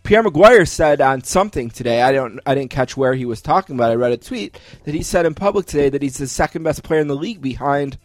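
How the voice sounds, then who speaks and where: A man's voice: medium pitch, expressive, quick pace, hardly any pauses, dry recording, faint music behind. A man with a North American accent talking sports casually as a podcast co-host, opinionated and given to pointed questions and casual swearing.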